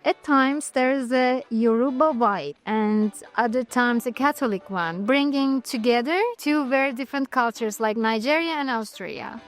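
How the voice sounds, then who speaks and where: Woman asking festival interview questions, pretty high voice, bit of music in the back. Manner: measured, formal questioning. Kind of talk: reading prepared interview questions.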